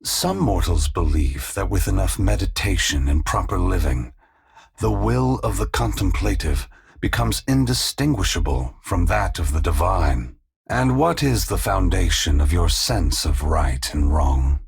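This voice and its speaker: An ancient male god from a fantasy game, very low and thick with gravel. He is solemn and sorrowful yet resolute, and his formal words come out in a husky, gentle, measured delivery. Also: animated, heavily acted. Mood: solemn, philosophical. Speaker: video game character. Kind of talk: scripted dramatic game dialogue.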